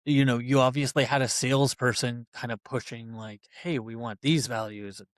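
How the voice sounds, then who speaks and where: A man hosting a casual podcast interview, relaxed and conversational, with an easy laugh and a lot of filler words. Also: medium pitch, moderate pace, no room sound, clean studio sound. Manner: relaxed, conversational, laughs easily, many fillers like "you know". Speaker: podcast host, website builder.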